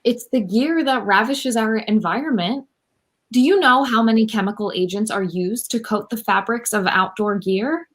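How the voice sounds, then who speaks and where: A woman's voice: fairly high pitch, normal speed, a bit bright. A young woman playing an earnest, easily exasperated environmental superhero in a comedy radio play over a video call. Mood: indignant. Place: room at home, video call.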